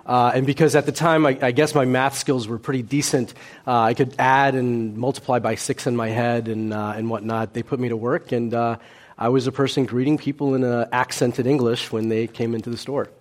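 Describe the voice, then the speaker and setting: A man's voice: mid-range voice, steady tone. Man telling his story with many fillers. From United States. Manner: halting, with many fillers and restarts. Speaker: panel guest. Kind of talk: conversational storytelling.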